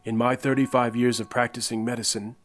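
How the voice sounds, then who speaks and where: A man acts a kindly old physician in an audio drama. His voice sits at a middle pitch. He talks courteously and earnestly, with measured devotion. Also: flat delivery, close to an everyday voice. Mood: reflective. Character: kindly, devout, old retired family doctor.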